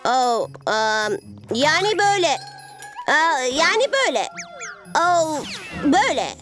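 A woman's voice: sits high, big pitch swings, takes it slowly, music in the background, full character voice. A woman voicing a sheepish six-year-old boy superhero in a children's cartoon, hesitant and blustering through stammers. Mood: flustered, sheepish.

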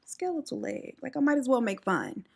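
一位嗓音略带沙哑气泡音的年轻女性，边化妆边闲聊讲故事。. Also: female voice, high-pitched, lively intonation, normal speed, gravelly, husky. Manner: chatty, sassy asides, frequent "like". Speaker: beauty vlogger telling stories. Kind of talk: casual storytelling while doing makeup. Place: indoors at home, room behind her. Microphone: camera in front at close range.

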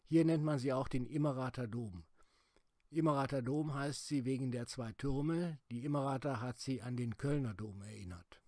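Middle-aged guy doing calm voice-over for a travel doc, mid-pitched voice. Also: moderate pace, no echo. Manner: calm, explanatory. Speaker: travel video host. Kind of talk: documentary narration.

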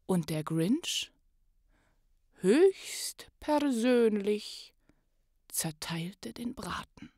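Theatrical storybook narrator, slow and dramatic woman reading. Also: medium pitch, lively intonation, full character voice. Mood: warm, triumphant. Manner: theatrical, deliberate, swinging from hushed to loud. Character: storybook narrator of a Christmas tale, theatrical and suspenseful. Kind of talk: dramatic read-aloud of a rhymed children's story.

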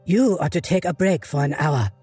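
A man's voice: fairly high pitch, expressive, normal speed, dark tone, husky, no room sound, faint music behind. A man voicing a disguised female soldier who speaks in old-fashioned, commanding phrases.

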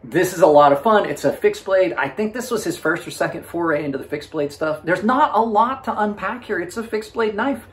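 A man's voice: sits high, animated, brisk, few pauses, husky. A man in his forties reviewing gear in a casual, unscripted way, chatting informally to his viewers as host. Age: 40s. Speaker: knife reviewer and channel host.

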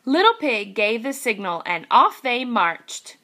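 A woman with a very high, animated voice reads a children's storybook aloud. She takes it slowly and deliberately, like a teacher addressing young listeners. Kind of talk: storybook read-aloud narration.

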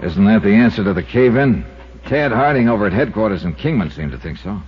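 An actor playing a brisk, wry insurance investigator who also narrates, in a 1950s radio detective drama. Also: male voice, fairly low pitch, animated, lightly acted. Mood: curious, probing. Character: brisk, confident male insurance investigator hero. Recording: dull 1950s radio recording with music.

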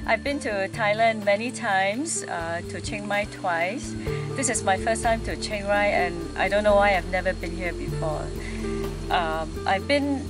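A woman's voice: medium pitch, a little slow. A middle-aged woman from Singapore, a workshop participant, speaking earnestly and reflectively in an interview, with a few fillers. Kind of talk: casual interview answers. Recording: music playing underneath.

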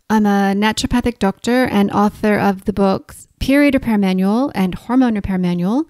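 Middle-aged Canadian-accented woman, podcast host; calm explainer tone, mid-pitched, dry close recording. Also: dry recording. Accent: Canadian, with some Australian. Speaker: podcast host, naturopathic doctor. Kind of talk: scripted health explainer.

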